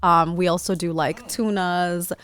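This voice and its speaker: A woman's voice: lowish voice, fairly even intonation, measured pace, fairly bright tone, clear, resonant tone. A woman hosting a casual food-and-talk podcast, bubbly and slangy, laughing often. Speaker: podcast host. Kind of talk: casual chat.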